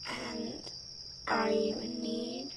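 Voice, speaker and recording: Woman as a high, echoing tree nymph. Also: flat delivery, drawn-out words. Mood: wistful. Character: small female tree nymph, feisty, wary, loyal forest spirit. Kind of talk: ASMR fantasy roleplay. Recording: distant, echoing, noisy nature-ambience track.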